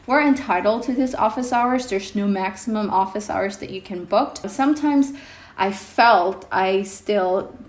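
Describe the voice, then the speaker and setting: A young woman vlogger whose first language is Indonesian, talking casually to her viewers with many pauses and ums. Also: mid-range voice. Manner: hesitant, many ums, reflective. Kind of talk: casual vlog talk to camera. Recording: echoey room with faint music.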